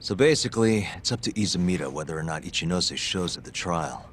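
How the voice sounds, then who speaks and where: A man's voice: deep voice, animated, fairly quick, a little hiss or hum. A man voicing a wry, cool-headed detective and former lawyer in an English game dub. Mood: businesslike.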